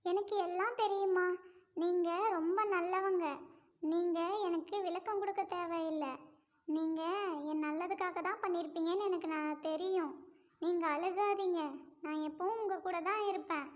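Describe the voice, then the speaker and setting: A woman's voice: extremely high pitch, fairly even intonation, moderate pace, voice pushed up. A woman voicing a timid, innocent, affectionate little bird girl in a children's bedtime story, with a pleading, childlike manner. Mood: tender, reassuring.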